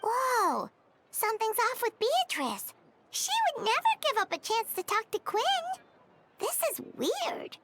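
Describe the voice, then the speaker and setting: A woman voicing a small, childlike, nosy and chatty fairy companion with a squeaky voice in a video game. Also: sing-song, a little slow, noisy background, heavily acted. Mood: surprised, curious. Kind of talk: video game character acting.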